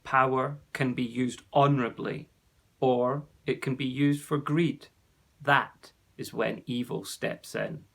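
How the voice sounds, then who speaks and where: A man voicing a wise, solemn male warrior who speaks in slow, measured pronouncements. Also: mid-range voice, fairly even intonation, gravelly, a bit bright, heavily acted.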